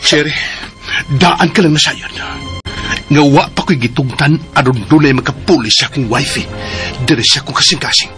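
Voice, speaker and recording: An irritated, stern man in a Cebuano radio drama. He speaks curtly in a forceful, fairly high voice, with faint music underneath. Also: normal speed. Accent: Cebuano Filipino. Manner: curt, stern, exasperated. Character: cold, irritated man rebuffing a clingy woman. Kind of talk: Filipino radio drama acting.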